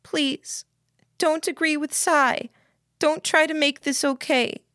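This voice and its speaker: A woman's voice: sits high, sing-song, a little slow, a bit bright, no echo, clean studio sound, pitched above the performer's own voice, full character voice. A woman voicing a distraught, emotional girl who pleads insistently in an audiobook. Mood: fragile pleading.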